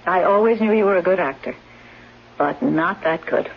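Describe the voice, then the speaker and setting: A woman's voice: lowish voice, moderate pace, creaky, breathy edge. A woman playing a brazen, scheming caregiver in a 1970s radio drama, with a wry, cutting manner. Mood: cool, sardonic. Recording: muffled, noisy 1970s radio broadcast.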